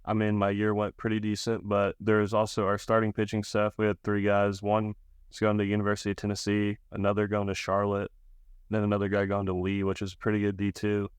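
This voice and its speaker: A young man speaks in a clean, resonant voice with very little melody, recorded dry and close. He answers interview questions casually, in a plain-spoken, low-key way. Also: completely flat delivery, brisk, clear, resonant tone, no room sound. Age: young adult, about 20. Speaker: college baseball pitcher being interviewed.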